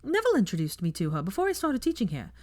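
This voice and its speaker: A brash young witch teacher, acted out by a woman narrator, chatting away cheerfully with no filter. Her pitch bounces around in an animated way, and the sound is close and dry. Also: very fast, no echo. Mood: chatty, upbeat. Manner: brash, breezy, swears casually.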